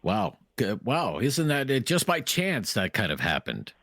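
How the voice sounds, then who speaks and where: A man hosting a call-in radio talk show, taking calls and chatting with callers in a brisk, conversational way. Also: on the low side, huge pitch swings, fairly bright tone, no room sound, clean studio sound. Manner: brisk, conversational host banter.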